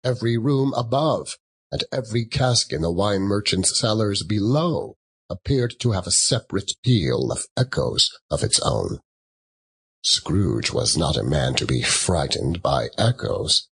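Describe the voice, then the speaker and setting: A man narrating a classic story as an audiobook, theatrical, with dramatic and deliberate phrasing. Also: low voice, lively intonation, fairly bright tone, slightly rough.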